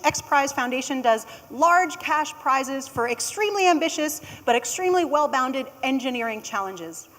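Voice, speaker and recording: A woman's voice: high-pitched, a bit bright, big-room sound. An American woman giving a conversational conference keynote with wry asides. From United States. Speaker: conference speaker. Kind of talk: keynote talk.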